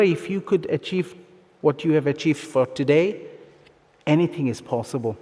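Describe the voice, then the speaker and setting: Higher-voiced man speechmaking in a reverberant hall. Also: darkish tone. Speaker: university official giving graduation address. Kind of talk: formal ceremonial speech. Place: large conference hall, live graduation ceremony. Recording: long reverb of large hall PA.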